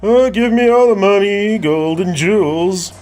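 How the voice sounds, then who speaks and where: A man's voice: high-pitched, unhurried, soft background music, pitched above the performer's own voice. A man voicing a menacing, bossy bank robber disguised as a ghost in a storybook. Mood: threatening. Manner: loud, commanding. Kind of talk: read-aloud storybook voice.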